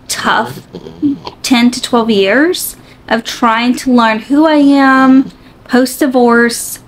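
Woman in her 40s talking slow and open, kinda high voice, lots of "like", sitting on her bed. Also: rough recording. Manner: slow, reflective and open, with many "like" and "you know" fillers. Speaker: vlogger. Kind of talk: candid personal vlog. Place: bedroom, seated on a bed. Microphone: camera a few feet away.

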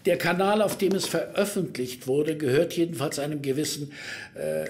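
An actor playing a formal, somewhat halting police superintendent in an audio drama. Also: male voice, a little high, darkish tone, close to an everyday voice. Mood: serious, official. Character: stiff, formal older male police superintendent briefing subordinates.

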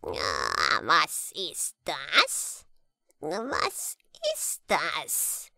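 A woman voicing a big, fat, dim frog who grumbles in a croaky, drawn-out way for a fairy tale. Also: sits low, wildly swooping pitch, sharp tone, dry recording, clean studio sound, voice pushed down, heavily acted. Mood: curious, slow. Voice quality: croaky, gravelly. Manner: slow, drawn-out, repeating itself.

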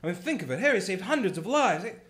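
A man's voice: high voice, very animated, fast, a bit bright. An actor playing a kindly, harried small-town loan manager in his thirties, earnest and stammering when flustered. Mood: excited. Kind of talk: community radio play acting.

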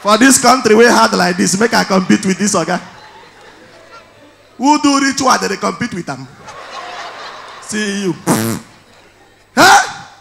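A man in his thirties with a Nigerian accent, performing stand-up on stage with a handheld mic, telling stories loudly and shouting his punchlines. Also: sits high, measured pace, vocal fry, long pauses, a little hiss or hum. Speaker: stand-up comedian. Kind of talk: stand-up comedy in Pidgin. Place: large indoor event hall on stage.

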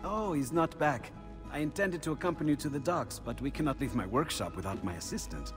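Man acting eccentric middle-aged scholar, courteous, expressive lively pitch, game cutscene, music behind. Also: a little fast, natural voice. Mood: resigned. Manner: courteous, expressive, self-deprecating. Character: eccentric aging Renaissance inventor and painter, excitable scholar.

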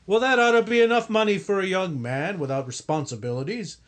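A man playing a gruff, stingy store boss and disapproving father in a recreated 1950s radio sitcom, brusque and blustering. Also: high-pitched, fairly quick, lightly acted. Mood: grudging.

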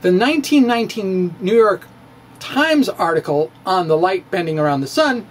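A man's voice: high voice, animated. Theatrical man reading his own blog. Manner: theatrical, playful, with pauses and dips. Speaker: physics blogger. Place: home room with bookshelves. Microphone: studio mic in front of him.

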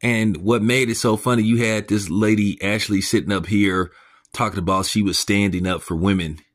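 Host, male, very low voice, blunt mocking rant, crude commentary. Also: fairly even intonation, normal speed. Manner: pitch jumps up when incredulous. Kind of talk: ranting commentary monologue.

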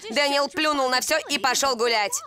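A woman's voice: sits high, moderate pace, pushed and bright. An actress voicing a sly, scornful teenage girl devil in a cartoon dub, mocking and dismissive.

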